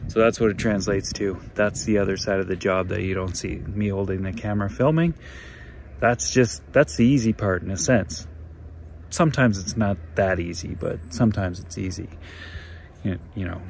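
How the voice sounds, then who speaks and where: A man's voice: fairly low pitch, creaky, darkish tone. A man in his forties talking casually to a handheld camera, rambling and correcting himself as he vlogs from his van and campsite. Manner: rambling, self-correcting, chatty. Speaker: van life vlogger. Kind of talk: casual vlog talk to camera. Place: inside a van and outdoors at a lakeside campsite. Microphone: handheld camera at arm's length. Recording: noisy handheld camera audio with car sounds.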